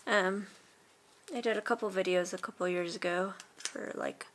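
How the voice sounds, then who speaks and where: A woman in her late twenties chatting casually in a vlog, relaxed and rambling with fillers and soft laughs. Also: mid-range voice, normal speed, slight background noise. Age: 20s. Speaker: vlogger.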